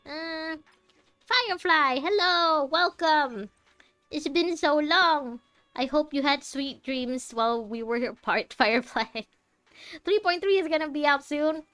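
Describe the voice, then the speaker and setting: A woman's voice: high-pitched, animated, slow pace, a bit bright, dry recording, faint music behind. A young woman from Malaysia chatting casually as she plays through a game, rambling and thinking aloud. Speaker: game streamer. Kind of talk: casual commentary while playing a game.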